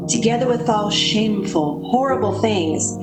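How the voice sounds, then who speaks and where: A woman's voice: mid-range voice, expressive, moderate pace, natural voice. Woman acting earnest radio drama friend, echoing room. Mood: haunted, reflective. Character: sensible, worried woman friend, haunted when narrating the past. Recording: echoing radio play recording with music behind.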